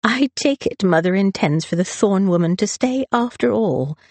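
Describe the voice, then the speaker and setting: This is a drunken young gentleman of good family, acted by a woman reader. He drawls and slurs his taunts, sulky and mocking, with a pitch that swoops about. It fits a dramatised storybook. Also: lively intonation, moderate pace, no echo. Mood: sulky drawling. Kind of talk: dramatised audiobook character voice.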